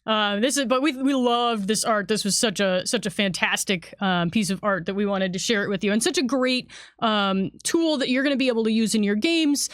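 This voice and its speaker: A woman's voice: mid-range voice, a little fast, no echo, studio quality. A woman in a casual, enthusiastic livestream chat, explaining tabletop game material as one of its designers.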